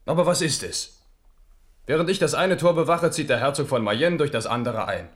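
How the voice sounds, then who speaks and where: A man's voice: a little high, moderate pace, lightly acted. An actor playing a restless, self-doubting Renaissance French king in a radio play, in standard German with a brooding, ironic manner. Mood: bitter, agitated.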